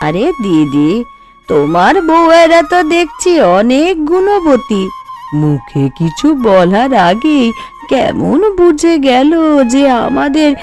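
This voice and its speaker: A chatty older neighbour from a cartoon , voiced by a woman, gossipy and emphatic. Her fairly high voice rises and falls a lot and takes its time, over background music. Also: very animated, slow pace, darkish tone, heavily acted. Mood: flattering. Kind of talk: Bengali cartoon voice acting.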